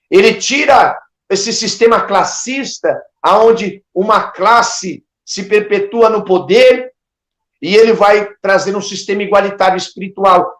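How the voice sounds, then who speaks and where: A Brazilian man preaching an impassioned sermon, emphatic and exhorting, with rhetorical questions and devotional interjections. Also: high voice. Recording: echoey, reverberant room sound.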